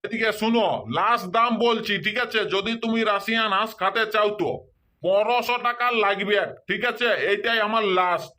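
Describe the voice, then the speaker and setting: A performer plays an old grandfatherly cartoon street seller with a bright, forceful voice. The delivery is an insistent, repetitive hawker's pitch. The accent is rural Purulia Bengali. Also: steady tone, fairly quick, close to an everyday voice. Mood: bargaining. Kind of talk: comedy cartoon dialogue.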